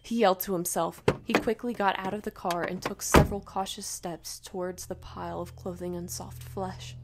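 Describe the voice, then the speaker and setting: A woman reading a first-person horror story in a dramatic, emotive, breathy delivery. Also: fairly low pitch, little pitch movement, normal speed, very noisy.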